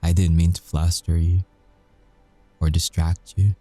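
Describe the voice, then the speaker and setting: A man playing a weary, confessing werewolf ex-agent in an intimate audio roleplay, speaking haltingly with deliberate pauses. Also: low-pitched, level tone, warm tone, no echo, faint music behind. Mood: flirtatious, soft.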